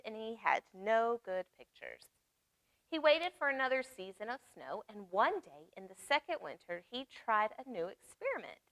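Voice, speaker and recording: A woman reading aloud at storytime for young children, expressive, pausing to explain and ask questions. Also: fairly high pitch, no room sound, low-quality recording. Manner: expressive, asks listeners questions and explains words. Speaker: children's library storytime reader.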